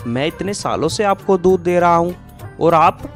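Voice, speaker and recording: A man's voice: high-pitched, expressive, moderate pace. A man playing an honest, polite milkman in a Hindi moral-story cartoon, speaking earnestly and deferentially. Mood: pleading. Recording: music behind the voice.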